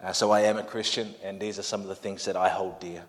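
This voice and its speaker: A fairly low-pitched man from Samoa, Samoan his first language, talks warmly and casually to a congregation as their pastor. His voice carries a long reverb from a church hall. He slips in light, self-deprecating jokes. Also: level tone, fast talker. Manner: friendly, chatty, self-deprecating asides. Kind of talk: sermon introduction.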